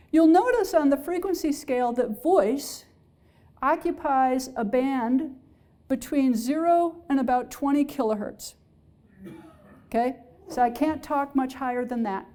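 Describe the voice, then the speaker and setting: A woman's voice: high voice, dark tone. A woman professor giving a public science lecture, explaining step by step and checking in with her listeners. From Alaska-based. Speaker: engineering professor. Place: lecture hall.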